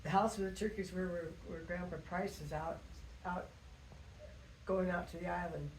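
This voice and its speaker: An older woman tells family stories like a grandmother at home. Her phrasing is halting and folksy, wandering through small details. The room is echoey, with faint noise underneath. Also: fairly low pitch, fairly even intonation. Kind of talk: casual storytelling reminiscence. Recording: roomy echo with faint background noise.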